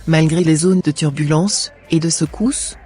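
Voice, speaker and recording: A guy playing a devout praying wife, fervent, slightly high voice, music behind. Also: a little slow, darkish tone, lightly acted. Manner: fervent, prayerful.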